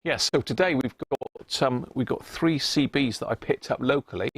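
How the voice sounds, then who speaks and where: A man's voice: medium pitch, moderate pace, slightly rough, no room sound. A British man hosting a livestream, chatting to viewers in an informal, matey, casual way. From United Kingdom. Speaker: livestream host. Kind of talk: casual live chat to viewers.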